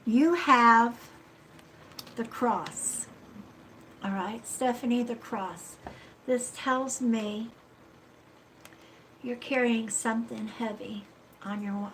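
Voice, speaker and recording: A woman with a stuffy nose giving a live card reading, speaking in a slow, soothing, reassuring way and often checking in with "okay?" and "all right?". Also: a little high, fairly bright tone, a little hiss or hum, rough recording. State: stuffy-nosed. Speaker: psychic card reader.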